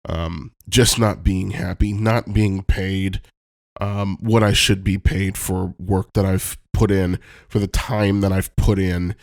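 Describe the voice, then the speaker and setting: A man's voice: sits low, fairly even intonation, takes it slowly, gravelly, dry recording, studio quality. A man with a cold, sounding stuffed up, talking candidly and reflectively on his podcast with many ums and casual swearing. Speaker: podcast host. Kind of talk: personal reflective monologue. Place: Los Angeles home.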